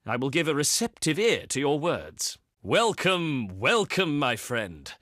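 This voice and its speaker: An actor playing a dignified, shrewd Roman envoy, delivering formal diplomatic oratory for a video game. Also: male voice, a little high, sing-song, unhurried, a bit bright, no room sound, clean studio sound. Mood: welcoming.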